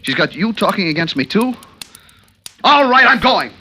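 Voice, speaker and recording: An actor playing a touchy, offended business partner in an old radio drama, brusque and emphatic. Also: male voice, high voice, big pitch swings, moderate pace, bright, forceful tone, breathy edge, exaggerated voice. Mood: indignant. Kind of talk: 1940s radio drama acting. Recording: vintage radio broadcast, music behind.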